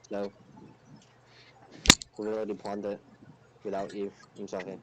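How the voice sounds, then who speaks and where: A man's voice: fairly low pitch, completely flat delivery, a little slow, crowd noise. A man co-hosting a podcast, speaking casually and giving informal sponsor reads, on a muffled lo-fi mic. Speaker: podcast co-host. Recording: muffled lo-fi mic with faint noise.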